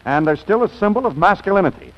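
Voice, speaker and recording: A man's voice: high-pitched, lively intonation, quick pace, in a large hall. An actor playing a suave, dryly sarcastic businessman husband in an old radio comedy, with wry, polished phrasing. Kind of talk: 1940s radio comedy acting. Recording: dull, lo-fi old radio recording.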